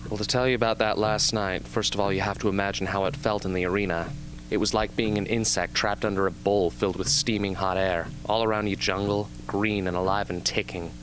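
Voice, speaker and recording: A man playing a young, haunted but earnest arena survivor with intense, deliberate delivery in an amateur radio drama. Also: on the low side, fairly bright tone, natural voice, low-quality recording. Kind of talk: amateur radio drama acting, interview scene.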